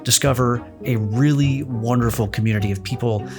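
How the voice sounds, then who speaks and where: A man's voice: mid-range voice, a little fast. A man giving a friendly scripted promo read as an announcer for a podcast. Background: music.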